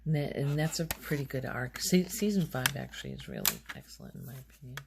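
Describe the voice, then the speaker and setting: Low, creaky, soft-spoken ASMR woman. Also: wildly swooping pitch, very noisy. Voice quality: soft, often breaking into whisper. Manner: unhurried, halting, thinking aloud. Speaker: ASMR video host. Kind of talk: soft-spoken ASMR, casual rambling show-and-tell.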